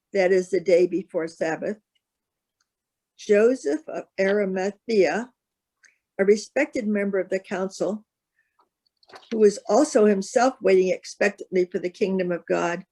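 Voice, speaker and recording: Female reader for scripted gospel narration, mid-range pitch. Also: warm tone.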